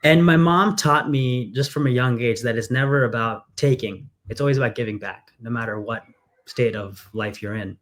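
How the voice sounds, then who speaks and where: A man's voice: medium pitch, normal speed. Earnest man, candidate in an interview. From England. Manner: earnest, storytelling, frequent fillers. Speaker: congressional candidate. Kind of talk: conversational interview.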